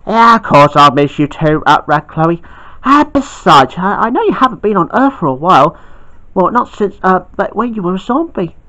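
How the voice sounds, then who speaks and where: A woman's voice: lowish voice, very animated, moderate pace, a bit bright, poor audio. A woman voicing a fumbling, kindly older man who keeps tripping over names, in a homemade toy roleplay. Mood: fond. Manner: hesitant, stumbling over names.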